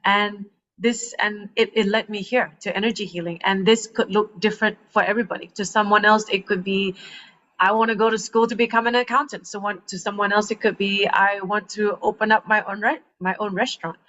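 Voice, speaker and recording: A woman in her thirties from Malaysia, a coach telling her story in a casual podcast chat, animated and laughing often. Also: medium pitch, fairly quick. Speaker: guest, transformational coach. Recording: muffled lo-fi sound.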